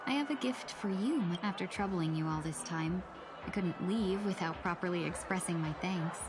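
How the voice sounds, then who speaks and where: A woman playing a polite, sly young fox-woman merchant in a game, speaking formally and measuredly with gentle playfulness. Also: on the low side, moderate pace, close to an everyday voice. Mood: courteous. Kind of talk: scripted game dialogue. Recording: prominent music behind the voice.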